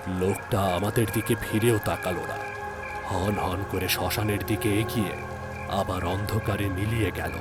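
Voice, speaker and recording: A man narrating a horror story in a dramatic, measured and suspenseful manner. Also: fairly low pitch.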